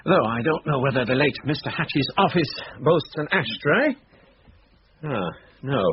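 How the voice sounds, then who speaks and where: A man acting a courteous, dry-witted English police inspector in a radio drama, politely formal and gently probing. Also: medium pitch, lively intonation, a little slow, natural voice. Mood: wry, genial. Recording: dull, noisy radio drama recording.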